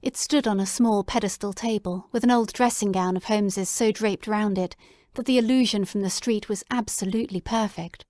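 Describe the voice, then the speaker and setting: A woman narrating a classic story in a measured, descriptive way. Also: medium pitch, steady tone, darkish tone, no room sound, studio quality. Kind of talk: audiobook dramatic reading.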